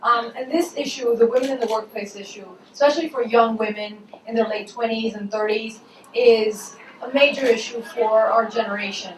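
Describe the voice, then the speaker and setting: A young woman moderates a live panel in a fairly high voice and talks in a chatty way. She laughs easily and fills her pauses, and the room rings with echo while she stays some distance from the mic. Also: fairly even intonation. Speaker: panel moderator, morning show host. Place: event hall. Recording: echoey hall, far from mic, faint noise.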